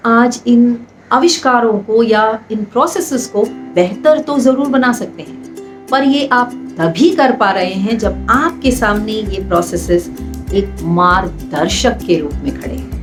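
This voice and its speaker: A woman hosts an inspirational storytelling piece. Her voice sits fairly high, and she speaks in a measured way, addressing listeners directly. Music plays faintly behind her. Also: animated. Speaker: storyteller and host. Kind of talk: inspirational storytelling narration with a moral. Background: background music.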